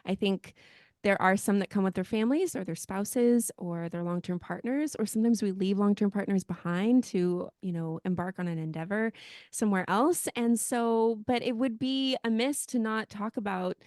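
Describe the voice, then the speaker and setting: A woman hosting a casual podcast interview, eager and quick to exclaim and laugh. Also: mid-range voice, darkish tone, dry recording, clean studio sound. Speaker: podcast host.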